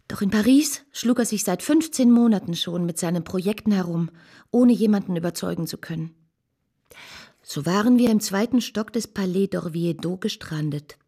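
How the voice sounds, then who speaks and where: An actress playing a reflective, idealistic woman of 19th-century Paris, narrating her memories in a radio drama with a husky voice. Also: mid-range voice, normal speed, dark tone. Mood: wistful, reflective.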